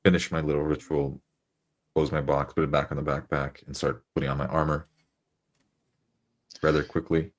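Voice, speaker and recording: Male tabletop player, extremely low voice, narrating his character's moves and lines. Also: little pitch movement, normal speed, pauses often. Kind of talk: roleplay, narrating and speaking in character.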